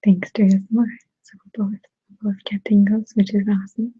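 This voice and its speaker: A woman with a Russian accent chats casually in a soft, breathy whisper, gently answering viewers on an ASMR stream with halting pauses, close to a sensitive microphone. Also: mid-range voice, level tone, no echo, dull audio. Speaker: ASMR creator hosting a live stream.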